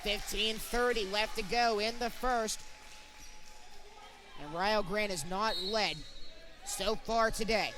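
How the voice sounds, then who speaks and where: A young man doing excitable basketball play-by-play, narrating each pass in clipped phrases. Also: sits high, takes it slowly, pauses often, noisy background. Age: young adult. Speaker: sports commentator.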